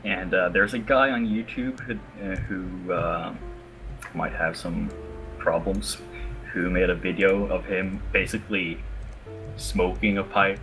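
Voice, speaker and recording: Brief: deep-voiced man in voice chat, halting, lots of "uh", music loud behind. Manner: hesitant, many fillers. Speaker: voice chat participant. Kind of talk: casual chat.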